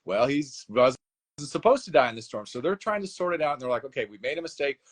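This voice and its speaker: Male author guest, mid-pitched, lively storyteller who slips into quick voiced dialogue. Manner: animated storyteller, acts out dialogue with laughs. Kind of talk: casual interview chat.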